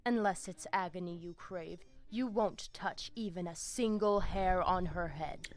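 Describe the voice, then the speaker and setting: A woman's voice: fairly low pitch, measured pace, close to an everyday voice. An actress playing a proud, zealous female vampire pack leader in a video game, speaking in a solemn, grandiose, threatening manner. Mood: cold menace. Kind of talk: video game character dialogue. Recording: music behind the voice.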